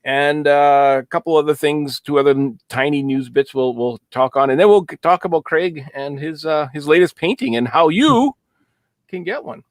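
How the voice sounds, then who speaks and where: A man hosting a casual live stream, chatting and joking in a relaxed way with many fillers. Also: a little high, moderate pace, no echo, studio quality. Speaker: host.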